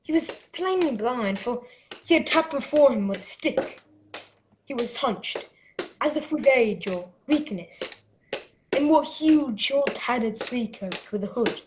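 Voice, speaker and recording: A woman playing an earnest, excitable young boy who acts and narrates his adventure in a radio play. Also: a little high, noisy background. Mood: suspenseful. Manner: dramatic, deliberate storytelling.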